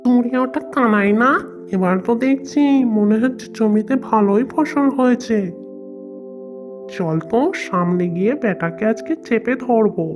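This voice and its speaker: A woman voicing a cruel, greedy middle-aged male landlord in a cartoon, harsh and scornful, over loud background music. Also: fairly high pitch, unhurried, natural voice. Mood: scheming.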